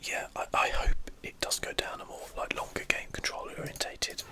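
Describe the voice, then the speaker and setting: A person whispering ASMR gameplay commentary in a breathy, unvoiced voice, musing and thinking aloud without hurry. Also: moderate pace, sharp tone. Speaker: host of a game play channel.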